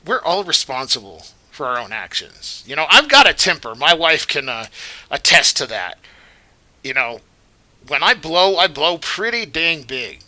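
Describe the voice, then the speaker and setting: A male vlogger with a very bright, sharp voice rambles through his commentary. His tone is indignant and moralizing, and his voice sits a little high. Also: very animated, a little slow. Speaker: vlogger host. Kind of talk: commentary vlog.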